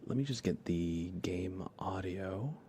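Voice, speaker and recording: A man chatting casually on an ASMR game livestream in a soft, breathy voice that often slips toward a whisper, hesitant and rambling. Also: low-pitched, expressive, slow, a little hiss or hum. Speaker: livestream host. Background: music.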